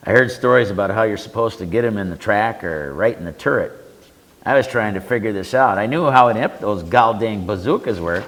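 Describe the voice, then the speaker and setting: An older veteran bluntly recounting war memories, with salty language. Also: male voice, medium pitch. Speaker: veteran panelist. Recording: reverberant community TV studio.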